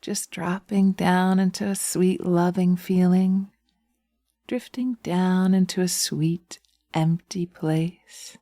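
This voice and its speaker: A woman's voice: on the low side, fairly even intonation, slow, mellow, no room sound, clean studio sound. A woman voicing a sweet, seductive witch who soothes and coaxes, partly in whispers, in a hypnosis roleplay audio. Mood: dreamy, lulling. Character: sweet, coaxing, seductive witch, gently teasing.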